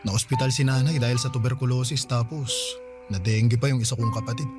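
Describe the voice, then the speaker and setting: A man's voice: medium pitch, fairly even intonation, fairly quick, close to an everyday voice. A male actor in a Filipino radio drama playing a tender bachelor neighbour, earnest and wooing. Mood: eager, tender. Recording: prominent music under the dialogue.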